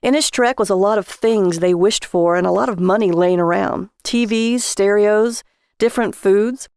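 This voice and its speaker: A woman's voice: medium pitch, moderate pace, darkish tone, clean tone, no room sound, clean studio sound. A woman reading a short story as a scripted audiobook narrator.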